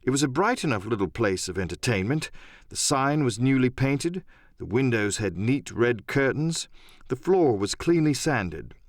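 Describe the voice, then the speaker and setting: A man's voice: medium pitch, sing-song, moderate pace, dry recording, studio quality. A man narrating an adventure audiobook in a dramatic first-person storytelling style.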